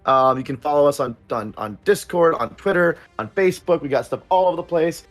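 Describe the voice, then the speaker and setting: A man with a slightly higher voice hosts a casual livestream and chats loosely with viewers. His talk rambles and is packed with ums and uhs, but it stays upbeat. Faint music plays underneath. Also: lively intonation, a little fast. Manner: rambling, filler-heavy, upbeat. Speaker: producer and stream host.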